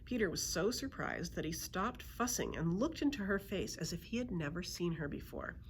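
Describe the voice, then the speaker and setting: A woman narrating a children's book aloud as a storyteller, in an expressive read-aloud style. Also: lowish voice, moderate pace, a little hiss or hum. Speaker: librarian storyteller.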